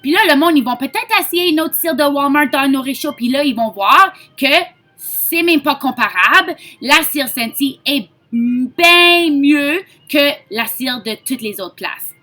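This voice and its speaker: A woman from Ontario with a Franco-Ontarian French accent, giving a chatty, informal sales pitch and mixing in English words. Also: expressive, measured pace, bright, forceful tone. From Ontario, Canada. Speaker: direct-sales consultant. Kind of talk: casual sales pitch to camera.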